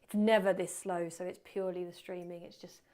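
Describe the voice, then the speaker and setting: A woman teaching photography in a live webinar, chatty and self-deprecating, muttering quietly to herself as she works at her computer. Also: lowish voice, flat delivery, fairly quick. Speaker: photography instructor. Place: indoors at a computer.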